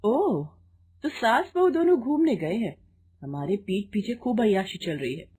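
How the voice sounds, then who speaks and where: A woman's voice: mid-range voice, very animated, a little slow, warm tone, slightly rough, heavily acted. Woman voicing a haughty, scolding young daughter-in-law. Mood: sarcastic, angry. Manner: taunting, complaining.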